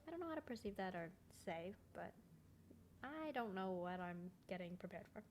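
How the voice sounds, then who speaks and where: A woman's voice: medium pitch, animated, moderate pace, slight background noise. A woman giving casual, informal commentary while playing a video game, in an offhand, slangy way. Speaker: gamer recording a playthrough. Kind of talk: casual game commentary, reading dialogue aloud.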